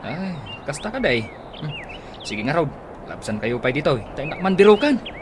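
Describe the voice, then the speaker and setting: Animated Ilocano village man played by an actor. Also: a little high, normal speed. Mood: inquiring. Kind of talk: comedy radio drama acting. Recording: noisy track with birds and roosters.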